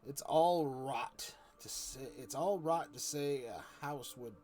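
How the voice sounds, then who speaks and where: A man reading the lines of an imaginative, scornful schoolboy from a storybook, halting but emphatic. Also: mid-range voice, darkish tone. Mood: eager, conspiratorial. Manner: halting, emphatic read-aloud dialogue.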